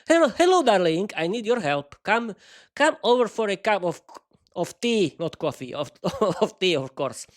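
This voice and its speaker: Guy doing a high shrill vampire lady, hot-tempered, yells at her husband. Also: lively intonation, unhurried. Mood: sweet. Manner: shrill scolding outbursts. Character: haughty female vampire lady, quick-tempered.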